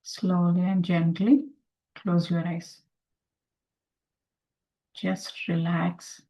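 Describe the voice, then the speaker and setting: A woman leading a guided meditation in a calm, deliberate, soothing way, with pauses between short phrases. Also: on the low side, gravelly. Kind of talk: slow guided meditation instruction. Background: meditation music.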